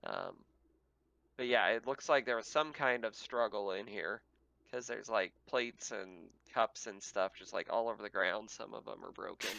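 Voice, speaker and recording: A man runs a tabletop roleplaying game, building scenes in casual, halting narration. His low voice is clean and smooth in tone with a fry at the edges. The track sounds a little dull. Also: sing-song, bright, forceful tone, dry recording. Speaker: game master.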